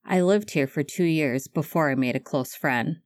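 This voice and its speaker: Woman reading a soft-spoken kind man, very low voice, dry close sound. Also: normal speed, no echo, natural voice. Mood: earnest. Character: gentle kind man, social worker.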